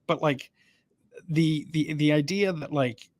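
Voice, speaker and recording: A man's voice: a little high, takes it slowly, no room sound. Man, sports podcast co-host, analytical, thinks aloud, repeats points, dry close sound. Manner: thinks aloud, repeats himself, seeks agreement with "right?". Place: stadium press box.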